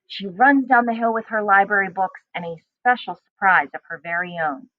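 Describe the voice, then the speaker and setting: A woman's voice: medium pitch, normal speed, no room sound. Woman reading a storybook, dry slightly muffled sound. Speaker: teacher reading aloud. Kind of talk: children's storybook read-aloud narration.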